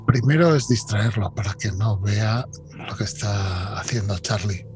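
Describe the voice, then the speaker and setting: A man's voice: fairly low pitch, a little slow, a little mellow, soft background music. A man playing a tabletop game, talking casually and bluntly, with some swearing. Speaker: player.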